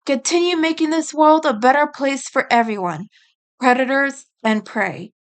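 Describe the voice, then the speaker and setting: A woman voicing a caring female rabbit's farewell letter in an audio story, earnest, gentle and apologetic. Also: high-pitched, normal speed, natural voice. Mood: tender, earnest.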